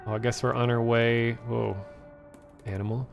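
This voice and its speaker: A man streaming a game, casually answering chat and talking through play, hoarse from losing his voice after hours of talking. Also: mid-range voice, normal speed, soft background music. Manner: relaxed, easygoing, answers chat questions. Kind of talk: casual gameplay commentary, reading chat.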